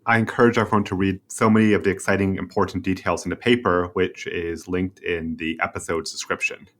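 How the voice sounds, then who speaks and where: A man's voice: low voice, little pitch movement, fairly quick, a bit bright. A man hosting a medical research interview podcast and putting questions to a guest.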